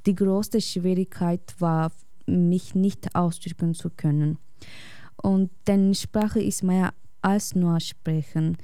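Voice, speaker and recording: A woman reads a prepared personal essay on air, calm and reflective, taking her time over every phrase. Her voice is warm and smooth. The sound is close and dry. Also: lowish voice, slow, no echo. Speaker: radio guest.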